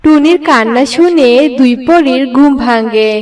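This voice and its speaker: A woman's voice: sits high, unhurried, darkish tone, far from the mic. A woman narrator reading a scripted story for children.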